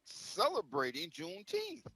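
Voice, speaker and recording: A man's voice: high voice, huge pitch swings, measured pace, sharp tone, slightly rough, dry recording, low-quality recording. A man chatting casually as a show co-host, exuberant and emphatic in his delivery. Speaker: show co-host presenting a word-of-the-day segment. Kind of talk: casual chat.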